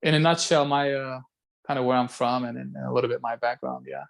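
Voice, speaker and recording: A man's voice: mid-range voice, fairly even intonation, brisk, creaky. Belgian-raised man, thoughtful video-call guest. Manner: thoughtful, repeats words while searching for them. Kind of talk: casual conversation. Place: home room by a window.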